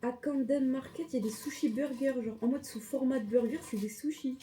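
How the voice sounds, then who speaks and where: Young woman, higher voice, lively insistent friend in casual talk; clear noise behind. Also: brisk. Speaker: friend on the trip. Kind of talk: casual chat.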